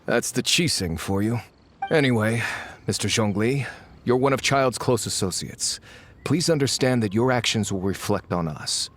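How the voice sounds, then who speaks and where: An actor playing a wary, sardonic faction agent in a video game, formal and slightly scornful. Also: male voice, mid-range voice, slight background noise, close to an everyday voice. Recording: music behind the voice. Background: game music.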